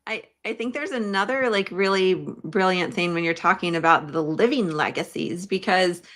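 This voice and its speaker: A woman's voice: on the low side, moderate pace, fairly bright tone. A woman hosting a casual interview, reflective and appreciative, thinking aloud as she talks. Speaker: podcast host.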